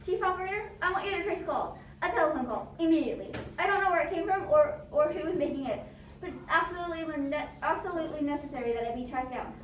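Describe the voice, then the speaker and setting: A woman playing a nervous, imperious, demanding invalid wife on the telephone in a radio play, agitated and halting. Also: sits high, expressive. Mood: urgent. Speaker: amateur drama club actor. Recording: echoey, distant, noisy amateur recording.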